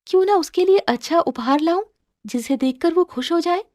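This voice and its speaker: A woman's voice: very high voice, lively intonation, normal speed, a little mellow, dry recording, studio quality, voice pushed up. A woman voicing a devoted, emotional young wife in a cartoon moral story, speaking in an earnest, pleading, sentimental way. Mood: musing, hopeful.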